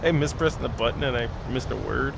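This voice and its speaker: A man reading a visual novel aloud as a gameplay host, playful and expressive in his reactions. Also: mid-range voice, a little fast, creaky, background noise. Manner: expressive, playful, exclaims and laughs.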